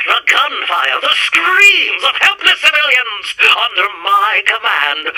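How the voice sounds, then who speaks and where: A man's voice: high-pitched, pushed and bright, full character voice, low-quality recording. A man playing a bombastic, bumbling robot general in a retro sci-fi radio serial. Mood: gloating. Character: blustering, muddled, bloodthirsty robot general.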